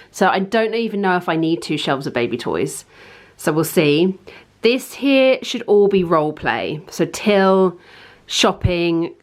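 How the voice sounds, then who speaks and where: A woman in her thirties talking casually and informally as she explains things like a home vlogger. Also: fairly low pitch. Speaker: parenting vlogger. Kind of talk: casual chatty home tour.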